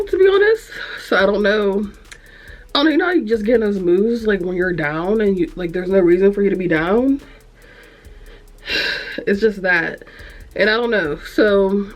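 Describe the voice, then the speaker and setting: A young woman with a congested, nasal voice, rambling frankly to camera in a room at home, easily distracted and cursing. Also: lowish voice. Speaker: vlogger. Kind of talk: casual chat to camera.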